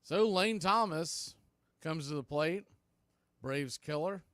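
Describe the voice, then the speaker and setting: Male play-by-play announcer, slightly high register, clipped live commentary, very dry studio-close sound. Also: slow pace, a bit bright, dry recording.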